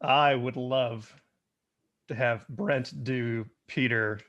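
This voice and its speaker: A man's voice: mid-range voice, fairly even intonation, drawn-out words, a bit bright, lo-fi sound. A man on a video call, quipping playfully. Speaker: participant.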